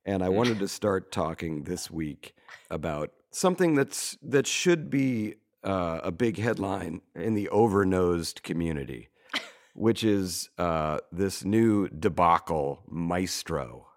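Hoarse low-voiced man, joking. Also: takes it slowly. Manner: wry, joking, animated. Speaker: podcast co-host. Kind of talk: comedic casual chat.

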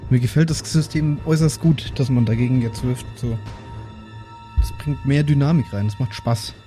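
A man's voice: medium pitch, moderate pace, warm tone. A man chatting casually as a player in a tabletop role-playing game. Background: background music.

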